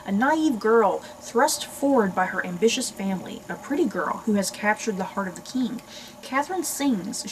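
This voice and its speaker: A woman's voice: medium pitch, a little mellow, a little hiss or hum, low-quality recording. An American woman hosting a book channel, chatting casually and expressively to viewers as she reads synopses aloud. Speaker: book review channel host.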